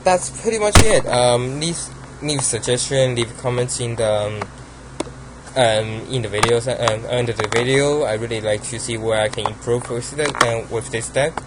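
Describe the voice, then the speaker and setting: A man's voice: mid-range voice, moderate pace, noisy background. Hesitant young man with a Chinese accent. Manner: hesitant, many ums and restarts. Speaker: card game deck builder. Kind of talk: casual deck profile monologue.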